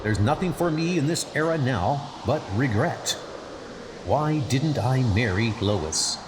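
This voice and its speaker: A man's voice: medium pitch, animated, unhurried, background noise, natural voice. A man voicing a resolute but lonely male superhero in a comic dub, earnest and declarative. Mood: regretful.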